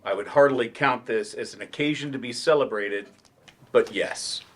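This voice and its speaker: A man's voice: medium pitch, normal speed, a bit bright, lightly acted. A man reading the part of a dejected, sarcastic writer turned teacher in a staged play, dry and wordy. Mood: sardonic. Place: library event room, staged reading.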